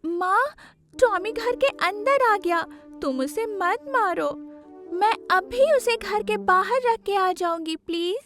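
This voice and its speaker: Tiny kid girl for a cartoon, super high voice, slow drawn-out begging, music behind. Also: expressive, exaggerated voice. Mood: pleading. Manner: childlike, earnest, drawn-out delivery. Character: tearful, pleading little girl who loves her dog.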